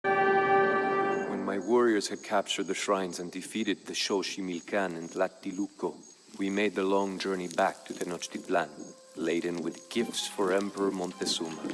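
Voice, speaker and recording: Casting: noble Aztec jaguar warrior narrator, low register, solemn and measured, game cutscene storytelling over music. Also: male voice, steady tone. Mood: grave, solemn. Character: grave Aztec jaguar warrior, royal nephew, recounting events. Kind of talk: scripted dramatic narration for a game.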